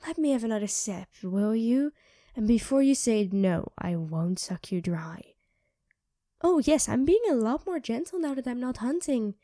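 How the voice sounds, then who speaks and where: A woman voicing a sardonic, teasing female vampire who mocks and coaxes in an ASMR roleplay, dropping into soft whispers, close to the mic. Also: on the high side, lively intonation, normal speed, no room sound, natural voice. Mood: savoring.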